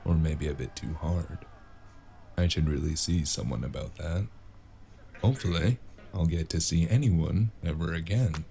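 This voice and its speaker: A man's voice: sits low, gravelly. A man playing a wry, world-weary drifter who narrates a cyberpunk noir audio drama in a sardonic way. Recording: music behind the voice.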